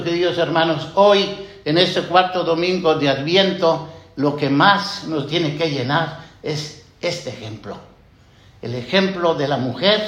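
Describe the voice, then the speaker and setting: A man with a fairly high voice speaks as a priest giving a homily, taking his time over each phrase. He pauses with "eh" and turns to ask questions of the listeners, and the sound carries the echo of a church. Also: a bit bright. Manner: slow, reflective, with many "eh" fillers and questions to the congregation.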